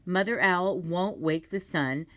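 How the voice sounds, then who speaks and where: A woman voicing a commanding male lion king who questions the animals in a loud, formal way, in a storybook read-aloud. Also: on the low side, slow. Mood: stern.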